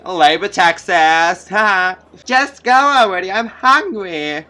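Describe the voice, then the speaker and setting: A man puts on a teenage girl from a visual novel, pitched up and bright. She is witty and playful, quick to tease and just as quick to get flustered. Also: expressive, exaggerated voice. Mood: teasing. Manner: playful, teasing, easily flustered.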